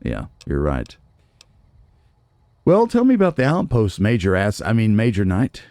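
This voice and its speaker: A man's voice: lowish voice, huge pitch swings, a little slow, darkish tone, no room sound, clean studio sound. A man giving casual, playful gameplay commentary, talking back to characters in a theatrical way. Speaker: let's play host.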